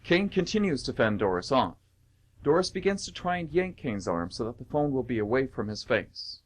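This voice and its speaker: A male narrator reads scripted stage directions in a radio play. His pitch moves around in a lively way, sitting in an ordinary middle register. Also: normal speed.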